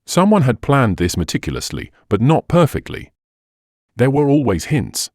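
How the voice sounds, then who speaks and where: A man reading scripted detective mystery narration in a measured, dramatic storytelling manner. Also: medium pitch, sing-song, darkish tone, dry recording, clean studio sound. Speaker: audiobook narrator.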